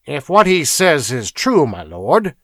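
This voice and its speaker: A man voicing a scheming inquisitor who persuades in a quick, ingratiating way. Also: fairly high pitch, huge pitch swings, fairly bright tone, no echo, clean studio sound, voice pushed up, heavily acted. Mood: persuasive. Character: smooth, scheming male inquisitor.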